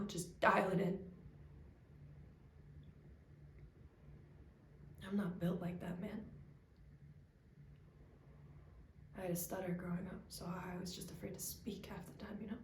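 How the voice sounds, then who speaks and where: A woman performing a dramatic monologue as a grieving, self-doubting young cook, speaking in a halting, confessional way. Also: lowish voice, a little mellow. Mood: wistful, vulnerable. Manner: halting, hesitant, confessional, with stammered restarts. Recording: echoey room, clear background noise.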